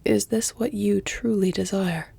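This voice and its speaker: A woman voicing an elegant, flirtatious vampire in an intimate audio roleplay, with a hushed, breathy, deliberate and formal delivery. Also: mid-range voice, fairly even intonation, exaggerated voice. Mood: seductive, hesitant. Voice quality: breathy, hushed, often near whisper. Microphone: close, dry microphone.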